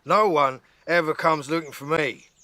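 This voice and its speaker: A man voicing a grumpy, lonely polar bear with a gruff, gravelly voice and a grumbling manner, from a storybook. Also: fairly high pitch, moderate pace, bright, forceful tone, no echo, pitched above the performer's own voice. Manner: grumbling, complaining.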